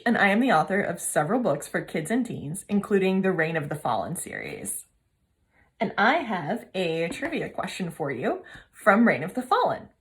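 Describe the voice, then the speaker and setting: A woman's voice: mid-range voice, a bit bright. A woman author casually presenting a trivia question to viewers. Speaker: children's and young adult author.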